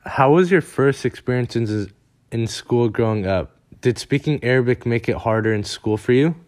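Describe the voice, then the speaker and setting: A man's voice: medium pitch, normal speed, darkish tone. Man asking short interview questions. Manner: brief questions with hesitant fillers.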